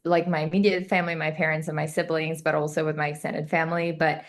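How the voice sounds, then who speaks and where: A woman's voice: low voice, flat delivery, fast. A young woman in her twenties whose first language is Albanian, chatting as a podcast guest, laughing often and using lots of "like" and "um". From Kosovo. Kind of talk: casual interview chat.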